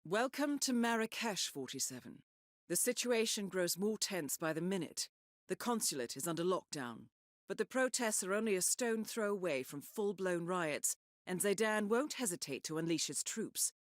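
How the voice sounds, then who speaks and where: A woman playing a composed, professional handler who briefs an assassin in a measured, formal, articulate way for a video game. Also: lowish voice, animated, no room sound, studio quality, close to an everyday voice. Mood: cool, urgent. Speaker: mission briefer. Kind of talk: scripted briefing narration. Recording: loud music under the voice.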